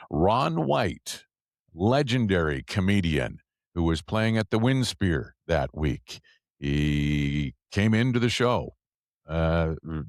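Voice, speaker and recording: A man's voice: deep voice, no room sound, studio quality. A man hosting a podcast and radio show, reading intros and sponsor plugs and chatting casually.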